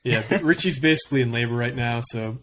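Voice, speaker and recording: A man's voice: medium pitch, fast talker, fairly bright tone, slight background noise. A man hosting a sports podcast, opening the show and ribbing his co-hosts in casual, teasing banter. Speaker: podcast host.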